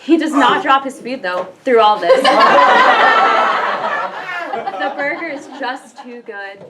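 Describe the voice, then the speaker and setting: A fairly high-voiced woman narrates the stage directions at a play reading. Loud noise and crowd sound sit behind the voice. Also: animated, slow pace.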